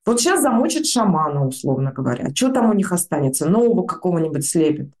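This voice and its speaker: Blunt female journalist, mellow voice. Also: on the low side, very animated, quick pace. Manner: blunt, casual, colloquial. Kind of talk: spoken commentary.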